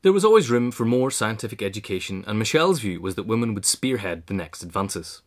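A man's voice: lowish voice, very animated, a little fast. A man reads a scripted biographical essay aloud as its narrator. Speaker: reader of an essay.

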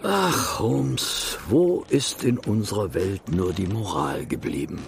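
An actor playing a good-natured, easily astonished gentleman sidekick in an audio drama, expressive and hesitant, full of little interjections. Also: on the low side, takes it slowly, vocal fry, background noise, heavily acted. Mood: concerned, curious. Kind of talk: German audio drama acting.

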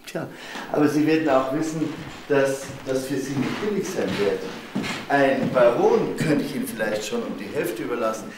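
An actor playing a mercenary broker of noble titles in a German crime radio drama, formal and insinuating. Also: male voice, mid-range voice, expressive, moderate pace, background noise, lightly acted. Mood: smooth, pressing.

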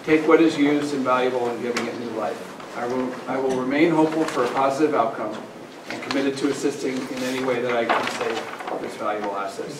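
A man's voice: medium pitch, fairly quick. A man reading a formal letter aloud at a town meeting, stumbling and repeating phrases now and then. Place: large indoor municipal meeting room. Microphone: far camera microphone. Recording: distant, echoey meeting room with background noise.

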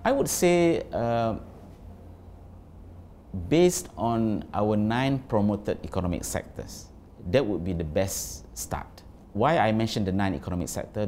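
Deep-voiced formal male official. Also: darkish tone, a little hiss or hum. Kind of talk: interview answers.

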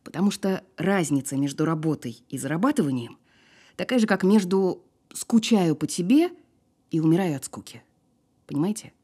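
A woman's voice: lowish voice, lively intonation, dark tone, breathy edge. An actress playing a wry, guarded woman in an audio drama, sparring with dry sarcasm. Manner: dry, sardonic, unhurried sparring. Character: wry, guarded woman who survived a fall. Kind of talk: naturalistic audio drama acting.

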